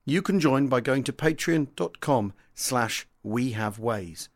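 A British man reads an audiobook memoir in the first person. His voice sits at a medium pitch, and he delivers the account as dramatic narration. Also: animated, slow pace.

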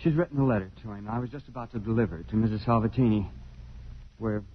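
An actor playing a wounded young son, stiffly polite and bitter, in 1950s radio drama style. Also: male voice, lowish voice, quick pace, breathy edge. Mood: cool, guarded. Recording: muffled, noisy vintage radio broadcast.

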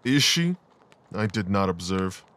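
A man playing a brilliant, coolly rational gentleman detective in an audio drama, speaking in a precise, clipped, analytical way. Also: sits low, big pitch swings, a little slow, exaggerated voice. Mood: cool, detached.

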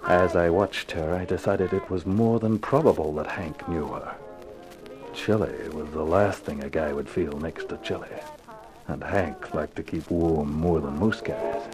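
A man's voice: sits low, unhurried, rough recording. An actor playing a cool, wisecracking private eye in a 1950s radio detective drama, terse and wry in his slangy delivery. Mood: moody narration. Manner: terse, wry, slangy tough-guy talk. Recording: vintage 1950s radio recording with music.

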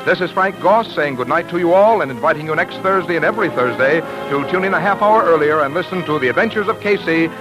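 A man's voice: a little high, expressive, fast, few pauses, music in the background, rough recording. An American man working as a polished, salesmanlike announcer reading adverts on 1940s radio.

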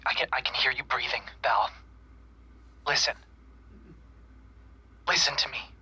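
A man's voice: medium pitch, steady tone, a little slow, bright, forceful tone, husky, exaggerated voice. An actor playing an earnest, apologetic young customer service representative in an audio drama, stammering and restarting his phrases. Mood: apologetic, pleading. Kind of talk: naturalistic audio drama acting.

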